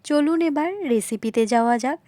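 A woman with a high voice gives a recipe voice-over. She explains each point politely, often restating it, and speaks straight to her viewers. The sound is close and dry. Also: brisk, warm tone, no echo. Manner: polite, repetitive explaining, addressing viewers directly. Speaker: home cooking video host. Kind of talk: recipe narration, instructional voice-over.

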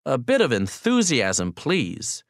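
A man acts out a brisk male boss in an audiobook story. He is informal and commanding, supportive in a blunt way, with a close, dry sound. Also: medium pitch, huge pitch swings, no echo, full character voice.

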